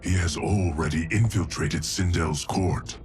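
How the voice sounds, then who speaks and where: A man playing a stoic, dutiful ancient guardian of time in a video game, speaking formally and deliberately. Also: deep voice, over music. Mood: grave warning. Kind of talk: cinematic video game drama acting.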